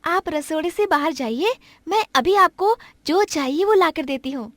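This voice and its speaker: A woman's voice: extremely high pitch, animated, normal speed, no room sound. A woman voicing a humble, deferential housemaid in a cartoon moral story, polite and hesitant. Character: humble, grateful young housemaid, timid and deferential.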